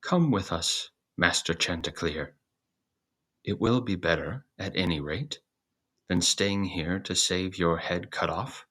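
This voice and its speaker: A man's voice: deep voice, slow, a bit bright, breathy edge, full character voice. A man voicing a hearty, encouraging storybook donkey with declamatory, courteous old-fashioned speech.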